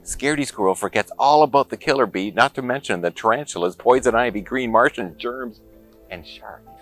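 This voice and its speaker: A man reading a picture book aloud to children in an expressive, dramatic storytelling manner. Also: mid-range voice, soft background music. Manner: expressive, dramatic pauses and exclamations.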